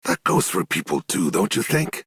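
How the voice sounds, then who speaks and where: An actor voicing a plain-spoken, practical, kindly tinkerer in a video game, with a rough, gravelly voice. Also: male voice, low voice, sing-song, normal speed, fairly bright tone, no room sound, clean studio sound, exaggerated voice. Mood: matter-of-fact, encouraging. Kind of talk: scripted game character lines.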